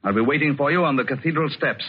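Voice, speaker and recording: An actor playing a cold, controlling villain, clipped and commanding, in 1940s radio suspense style. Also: male voice, fairly high pitch, fast talker, close to an everyday voice. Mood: cold menace. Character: cold, controlling male villain coercing his accomplice. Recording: dull, faintly noisy old radio recording.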